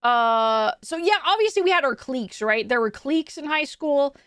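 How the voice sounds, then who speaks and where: A woman's voice: sits high, lively intonation, normal speed, fairly bright tone, no room sound, studio quality. A young adult woman streaming from home, chatting casually with her chat. She rambles, restarts her sentences often and laughs a lot.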